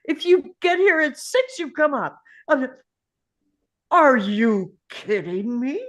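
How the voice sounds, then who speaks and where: A woman's voice: high-pitched, big pitch swings, slow, heavily acted. A woman at a script table read playing an indignant, anxious husband and aspiring comedian, exasperated and incredulous. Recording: dull, lo-fi sound.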